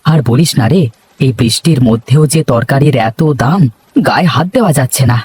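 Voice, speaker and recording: Slightly husky, fretful older village father played by a man. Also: mid-range voice, animated, brisk, darkish tone, no echo. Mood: friendly. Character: worried older village father running a small eatery. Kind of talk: cartoon voice acting.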